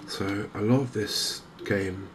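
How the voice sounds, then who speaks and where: A man softly narrating a game in ASMR style, hushed and hesitantly thinking aloud. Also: lowish voice, steady tone, takes it slowly. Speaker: gaming video host. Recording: noticeable background ambience noise. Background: game ambience.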